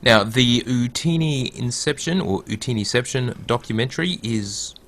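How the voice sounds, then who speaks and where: Gravelly-voiced man hosting a podcast. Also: mid-range voice, normal speed, fairly bright tone. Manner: reads a write-up aloud in a mock movie-trailer voice. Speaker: podcast host. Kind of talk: casual gaming podcast chat.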